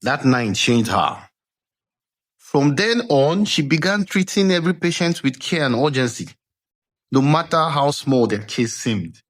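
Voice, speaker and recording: A man narrating a scripted folktale dramatically, with measured suspense and deliberate pauses, as a storyteller. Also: mid-range voice, lively intonation, a bit bright. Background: background music.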